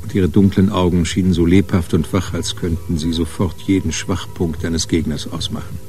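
A man's voice: low voice, level tone, normal speed, music in the background. A man narrating a scripted crime radio play as a literary storyteller.

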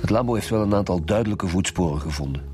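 An actor playing a blunt police chief inspector in a radio drama, speaking curt, matter-of-fact Flemish Dutch. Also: male voice, deep voice, fast, darkish tone, faint music behind, natural voice. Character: gruff, blunt male chief inspector in a murder squad. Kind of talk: naturalistic radio drama dialogue.

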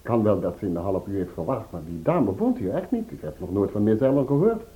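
An actor playing a polite, firm apartment concierge in an old Dutch radio play. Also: male voice, fairly low pitch, big pitch swings, fast talker. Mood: polite, certain. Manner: courteous, matter-of-fact. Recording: dull old radio recording.

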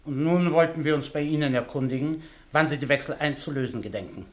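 A man's voice: fairly high pitch, fast, close to an everyday voice. An actor playing a blunt, threatening male henchman in a radio play, speaking curtly. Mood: menacing. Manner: curt, threatening. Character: blunt, menacing male henchman named Leo.

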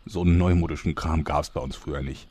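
A man's voice: extremely low pitch, steady tone, fast, dark tone, breathy edge. A man playing a pompous, grumpy old-timer narrator in a mock-epic audio play, given to chatty asides. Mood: flustered, indignant. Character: grand, grumpy old-timer male narrator of heroic tales.

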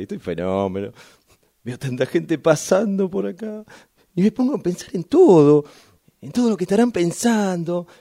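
A man's voice: medium pitch, vocal fry, mellow, breathy edge, full character voice. A man acting in amateur radio theatre as a wistful man waiting for a train. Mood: carefree, musing.

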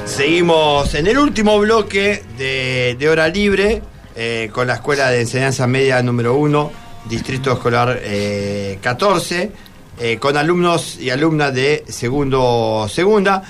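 A man with an Argentine accent hosting a radio show, talking informally and a bit haltingly as he interviews students. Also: medium pitch, animated, fairly bright tone, soft background music. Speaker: radio host. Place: radio studio. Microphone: studio microphone.

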